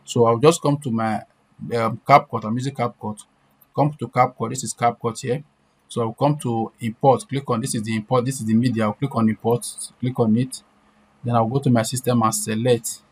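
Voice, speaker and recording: A man's voice: medium pitch, steady tone, moderate pace, a little mellow. A man presenting an informal step-by-step screen-share tutorial, repeating himself and often checking in with short questions. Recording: clear background noise.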